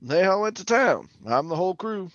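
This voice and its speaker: An actor playing a garrulous middle-aged former oil-rig roughneck, telling his tale in a rambling, folksy radio drama manner. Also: high-pitched, big pitch swings, normal speed, highs cut off, noisy background. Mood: friendly, easygoing. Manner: rambling, folksy, colloquial storytelling. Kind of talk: old-time radio drama monologue and dialogue.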